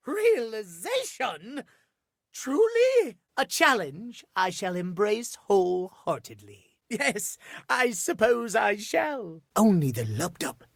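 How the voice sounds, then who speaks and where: A man's voice: high-pitched, fairly bright tone, dry recording, clean studio sound, exaggerated voice. A man voicing a prim, fussy and earnest living clock in a video game, with formal, theatrical old-fashioned diction. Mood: awed, tender. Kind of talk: animated video game character acting.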